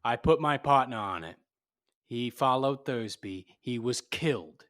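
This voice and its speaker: A man's voice: mid-range voice, expressive, a little slow, fairly bright tone. Man acting a hard-boiled, cynical radio detective. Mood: cold, accusing. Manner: clipped, blunt, accusing. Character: hard-boiled cynical male private detective, tough and unsentimental.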